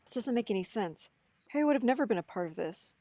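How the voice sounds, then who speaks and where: A woman's voice: a little high, animated, quick pace, mellow. A woman reading the part of a doubtful young man searching for his father in a table reading. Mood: troubled. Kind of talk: online script table reading.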